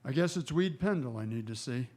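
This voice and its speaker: A man plays a frontier marshal in a Western radio play. He is laconic, steady and matter-of-fact, with a mid-pitched voice. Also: fairly quick, close to an everyday voice. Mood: sober, resolute. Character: steady, plain-spoken male frontier marshal. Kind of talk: Western radio drama acting.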